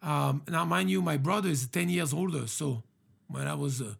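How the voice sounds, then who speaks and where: Gravelly-voiced French-accented man. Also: a little high, moderate pace. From France. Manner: hesitant, many fillers and restarts. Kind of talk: casual interview chat.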